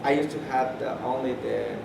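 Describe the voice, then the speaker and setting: A man talks at a community meeting, hesitating often and filling the gaps as he searches for words. His voice sits in a middle pitch and reaches the microphone from some way off. The room echoes and a loud hum lies underneath. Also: moderate pace, creaky, recorded from a distance. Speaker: community member.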